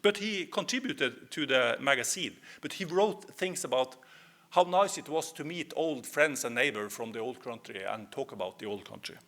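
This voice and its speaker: A man with a Norwegian accent giving a history presentation, speaking in measured phrases with hesitant fillers. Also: mid-range voice, animated, a bit bright. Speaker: lecturer.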